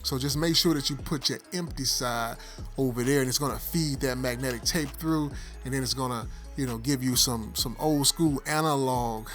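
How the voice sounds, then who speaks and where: A man's voice: mid-range voice, moderate pace. Man explaining casually over prominent music. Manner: explanatory, addressing viewers directly. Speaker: tech product reviewer. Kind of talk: casual product review and demonstration. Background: background music.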